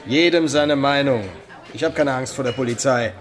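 A man playing a gruff, indifferent bar keeper in a radio drama, laconic and offhand. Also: mid-range voice, normal speed, music in the background, lightly acted. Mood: indifferent, defiant. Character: male bar keeper, gruff, indifferent, defiant toward police. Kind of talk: German radio drama acting.

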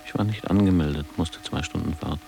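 An actor playing a reflective ageing former boarding-school pupil, narrating his memories in a measured, literary way for a radio drama. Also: dark tone, soft background music. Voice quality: deep, gravelly.

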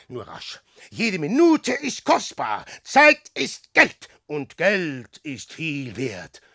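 An actor playing a boastful, self-pitying dreamer and adventurer in a radio play, declaiming between hushed brooding and grand exclamation. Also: male voice, on the high side, vocal fry, no room sound, studio quality, heavily acted. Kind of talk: theatrical German radio play monologue.

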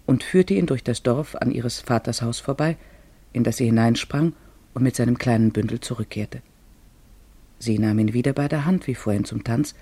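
A man narrating a literary tale for a radio drama, expressive and measured in his phrasing. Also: medium pitch, no room sound, close to an everyday voice.

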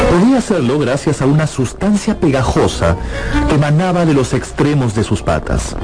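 A man's voice: on the high side, poor audio. A man narrating a scripted dramatic story for a radio audiobook. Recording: music behind narration.

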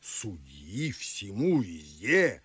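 An actor playing a pompous, garrulous older Moscow nobleman, declaiming husky-voiced in old-fashioned theatrical verse for a radio play. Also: lowish voice, very animated, very slow, fairly bright tone, full character voice. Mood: grumbling, opinionated.

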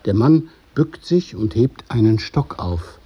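A man narrating a radio play in the present tense, in a measured, literary storytelling manner. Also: on the low side, expressive, lo-fi sound, a crowd in the background. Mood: observing. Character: observing narrator of stage directions.

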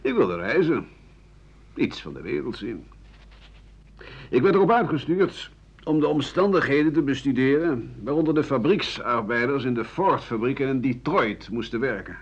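A man's voice: medium pitch, animated, moderate pace, breathy edge. An actor in a radio play playing an embittered, world-weary old doctor and writer who grumbles disdainfully as he looks back. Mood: bitter, nostalgic. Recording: dull 1980 radio recording.

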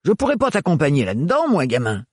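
Audiobook figure: male reader as a gruff burly servant, fast and loud, dry sound. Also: fairly high pitch, wildly swooping pitch, dry recording, pitched above the performer's own voice, exaggerated voice. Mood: blustering. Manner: blustering, gruff, rapid. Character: gruff burly working man, loyal and rough.

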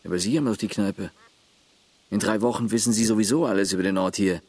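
A man's voice: fairly low pitch, fast, a little mellow, slightly rough, no room sound. An actor playing a terse village man who looks after his grandfather, matter-of-fact in a radio play.

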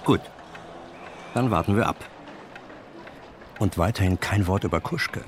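A man's voice: low-pitched, unhurried, a little hiss or hum. A man playing a genial but probing detective chief in a radio drama, speaking with clipped authority. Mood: probing, authoritative. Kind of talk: German radio drama acting.

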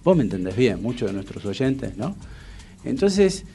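A middle-aged Argentine man with a Rioplatense accent, hosting a radio interview in a chatty, relaxed and informal way that wanders off into asides. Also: mid-range voice, a little slow, creaky, faint music behind. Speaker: radio host. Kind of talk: casual radio interview chat.

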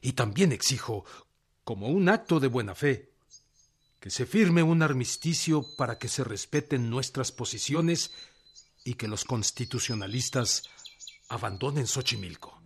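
An actor playing a firm, demanding revolutionary general in a radio drama. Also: male voice, mid-range voice, animated, unhurried, slight background noise.

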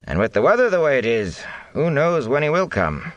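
A man's voice: mid-range voice, sing-song, normal speed, no room sound, pitched above the performer's own voice, natural voice. A man voicing a shrewd, stout middle-aged innkeeper who is also the village mayor, hearty and wry.

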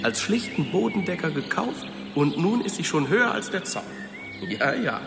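Lively, echoing self-satisfied husband. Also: male voice, high voice, normal speed, gravelly, over music, exaggerated voice. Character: self-satisfied, absent-minded husband and hobby gardener. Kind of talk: radio drama dialogue.

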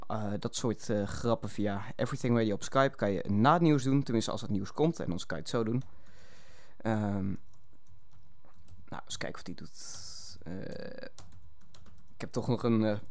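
A man's voice: mid-range voice, a little slow, a little mellow, dry recording, studio quality. A man presenting live radio in a casual, improvised way, hesitant and often muttering to himself.

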